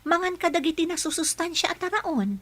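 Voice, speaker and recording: A woman's voice: very high-pitched, animated, a little fast, a bit bright. A woman acting a patient, caring young health worker in an Ilocano radio drama, with an Ilocano Filipino accent. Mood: patient, instructive.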